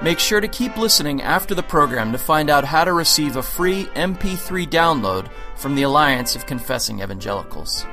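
A man hosting a short interview podcast, reading the intro and asking clear, organized questions. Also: a little high, expressive, a little fast, few pauses, loud music behind the voice.

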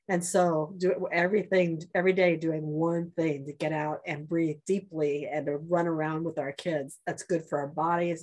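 Woman, podcast guest, lowish voice, earnest, gives practical advice. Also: darkish tone, husky. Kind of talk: casual conversation.

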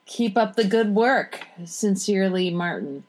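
A woman's voice: medium pitch, animated, a little slow, darkish tone, slight background noise. Woman chatting casually as podcast guest. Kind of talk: casual chat and reading a letter aloud.

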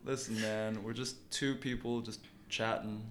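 A man's voice: fairly low pitch, flat delivery, measured pace, a bit bright. A man in his late twenties co-hosting a podcast at work, rambling through casual chat. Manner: rambling, lots of fillers and restarts. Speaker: podcast co-host. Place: office at work.

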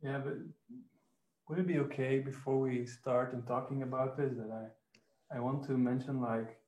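Soft-spoken man in a roomy, echoey space. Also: medium pitch, fairly even intonation, normal speed. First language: Dutch. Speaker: guest therapist. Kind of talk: casual interview chat.

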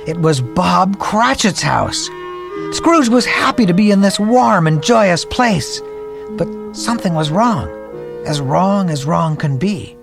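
A man's voice: sits high, big pitch swings, takes it slowly, creaky, full character voice. A man voicing an earnest storyteller creature, proud of his art, narrating a radio play dramatically over music. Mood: dramatic, hushed. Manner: theatrical, dramatic, patient narrator. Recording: music under the voice.

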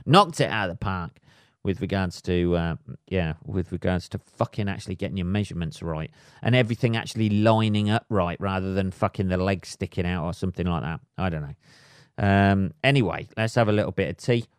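A man, a little hoarse, ranting comically and swearing constantly in a rambling solo podcast monologue. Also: deep voice, a little fast, dry recording, studio quality. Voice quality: heavy swearing, rambling with many fillers. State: slightly hoarse. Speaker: podcast host. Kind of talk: solo comedic rant.

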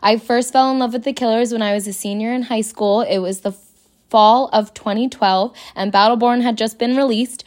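A woman's voice: on the high side, fairly even intonation, normal speed, darkish tone. A woman in her late twenties from New Jersey, giving a personal testimonial as a fan in a voice message. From United States, New Jersey. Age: late 20s.

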